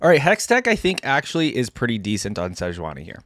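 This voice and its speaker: A man's voice: mid-range voice, huge pitch swings, fast, fairly bright tone, dry recording, clean studio sound. A man giving casual, off-the-cuff reaction commentary as a video host, rambling informally as he thinks aloud.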